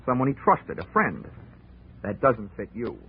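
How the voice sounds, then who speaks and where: A man's voice: fairly low pitch, big pitch swings, a little slow, slight background noise. An actor playing a wry, laconic male insurance investigator who narrates a 1940s radio drama. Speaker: narrator and lead. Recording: tinny old radio broadcast.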